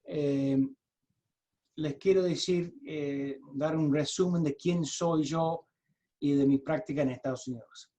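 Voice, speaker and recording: An American-accented man, a native English speaker, presents over a video call in a fairly high but mellow voice. He explains step by step as a lawyer would. He is halting, often repeats words and restarts his sentences. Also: fairly even intonation. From United States.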